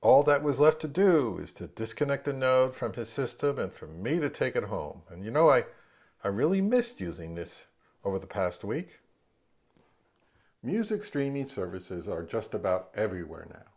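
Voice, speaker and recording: A man's voice: medium pitch, sing-song, normal speed, darkish tone. A middle-aged man hosting a gear review in an explanatory vlog style. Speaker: host, audio gear reviewer.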